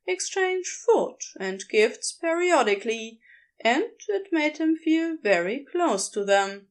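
A woman narrating a written story in an expressive, unhurried storytelling manner. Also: on the high side. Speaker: podfic narrator.

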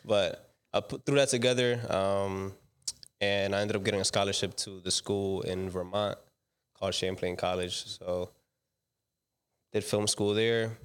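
A young man from the Dominican Republic talks as a guest in a casual interview. His voice sits low and stays fairly flat. He tells his story in a loose, slangy way, full of fillers. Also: clear, resonant tone. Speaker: guest, commercial director.

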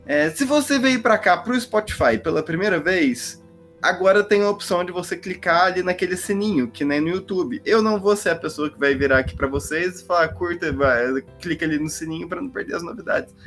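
A man's voice: high-pitched, fairly quick, fairly bright tone, soft background music. Chatty Brazilian man from Minas Gerais. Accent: Minas Gerais Portuguese. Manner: rambling, restarts sentences, casual. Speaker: podcast co-host. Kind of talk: relaxed podcast chat and sign-off.

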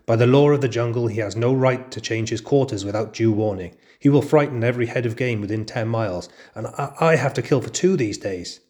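A man voicing a proud, gruff father wolf who speaks stiffly and angrily in a storybook reading. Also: on the low side, steady tone, natural voice. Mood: angry. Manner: stiff, forceful, quick to anger.

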